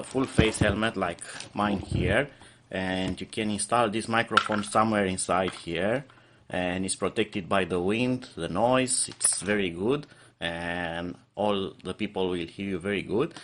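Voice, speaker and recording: A man's voice: low-pitched, a bit bright, a little hiss or hum. A man giving an unscripted product review, explaining features step by step with hesitant, slightly non-native phrasing.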